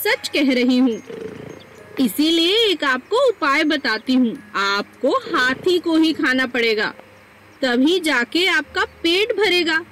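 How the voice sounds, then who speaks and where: A woman voicing a sly female fox for an animated story, flattering and wheedling in a persuasive, treacherous way. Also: sits high, takes it slowly, soft background music, voice pushed up, heavily acted, low-quality recording. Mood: coaxing, scheming. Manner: wheedling, persuasive, repeats pleas.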